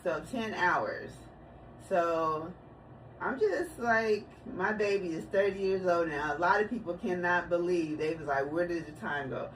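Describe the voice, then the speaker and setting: A woman's voice: on the low side, measured pace. A woman in her forties talking casually about her family on her video channel, telling stories and laughing easily. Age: 40s. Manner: chatty, storytelling, laughs easily. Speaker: vlogger. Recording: distant mic, noisy room.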